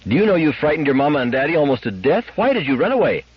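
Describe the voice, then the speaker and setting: An angry, worried storybook mother voiced by a man scolds in a raised pitch. The sound is dry and a little dull. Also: sing-song, very fast, breathy edge, no echo. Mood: angry, scolding. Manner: sharp, scolding. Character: anxious, angry mother scolding her child.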